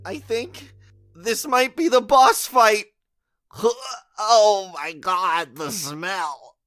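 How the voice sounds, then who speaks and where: A woman playing a mouthy, anxious rookie ensign in a science-fiction audio drama, with a flippant, expressive delivery. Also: medium pitch, very slow, no room sound, soft background music. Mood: flippant, jokey.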